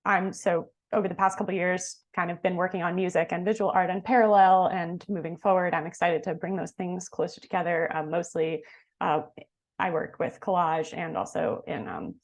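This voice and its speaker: A woman's voice: fairly low pitch, normal speed. A woman composer from New York City introducing herself casually on a video call, with frequent "um"s. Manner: relaxed, frequent "um"s.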